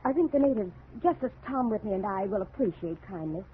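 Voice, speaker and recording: Woman acting earnest wife, expressive vintage radio. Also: medium pitch, fairly quick, phone-quality sound, natural voice. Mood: concerned, reproachful. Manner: earnest, pleading, dramatic. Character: concerned, reproachful wife who stands her ground. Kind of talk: old-time radio drama acting. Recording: thin, noisy vintage radio recording.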